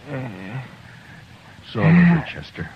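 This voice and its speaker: An actor playing a calm, tough frontier marshal in a 1950s radio drama, laconic and dry. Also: male voice, mid-range voice, drawn-out words. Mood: urgent. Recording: noisy dull old radio recording.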